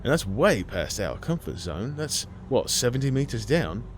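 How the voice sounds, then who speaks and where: A man's voice: mid-range voice, very animated, moderate pace, a little hiss or hum, pitched above the performer's own voice, lightly acted. A man voicing a wary scrap diver in an audio story, dry and incredulous.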